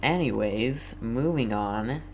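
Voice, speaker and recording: A low-key streamer chats casually through a video game at a slow, hesitant pace. Faint background noise sits behind the voice. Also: darkish tone. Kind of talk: casual gameplay commentary.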